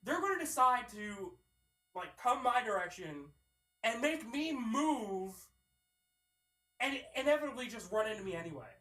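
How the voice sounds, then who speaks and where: A man's voice: extremely high pitch, sing-song, unhurried, long pauses, pushed and bright. A twenty-one-year-old man from Pennsylvania co-hosting a podcast, telling a profane, exasperated story in casual chat. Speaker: podcast co-host. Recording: echoey room, mic at a distance.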